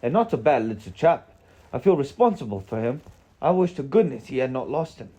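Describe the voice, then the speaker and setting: Storybook wizard, voiced by a male reader: stern, protective, scolding, raised pitch and emphatic delivery. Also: sing-song, a little fast, mellow, slightly rough. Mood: earnest concern.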